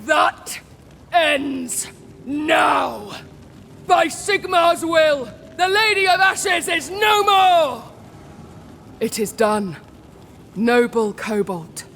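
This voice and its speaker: A woman's voice: sits high, lively intonation, extremely slow, gravelly, pauses often, a bit bright, exaggerated voice. A woman playing a righteous, vengeful young warrior in a video game cutscene, declaiming with zealous resolve. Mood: fierce, defiant, then solemn, devout. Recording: long hall reverb, faint noise.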